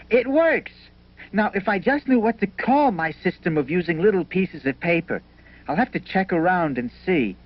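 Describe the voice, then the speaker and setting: A man's voice: high-pitched, full character voice. A man playing an earnest, inventive village banker who muses aloud in a 1970s educational cartoon. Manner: musing aloud, businesslike. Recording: dull old 1970 film soundtrack.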